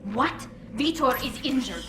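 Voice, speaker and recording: A woman's voice: sits high, expressive, measured pace. Young female alien engineer: earnest, firm, protective, video game scene, echo and noisy ambience. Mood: firm, pleading. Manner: pleading but firm. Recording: echoey, with loud background ambience.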